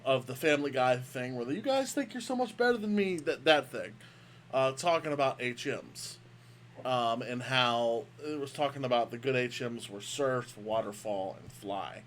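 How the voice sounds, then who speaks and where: A man co-hosting a casual gaming podcast over a remote call, rambling and restarting his sentences. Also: medium pitch. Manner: rambling, restarts often, playful grandiose greeting. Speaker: podcast co-host. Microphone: microphone over a remote call.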